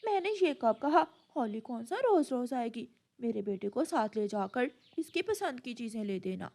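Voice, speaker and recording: A woman's voice: on the high side, sing-song, normal speed, dark tone. A woman voicing a doting, indulgent bird mother in a cartoon, motherly and reasoning. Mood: reproachful, persuading.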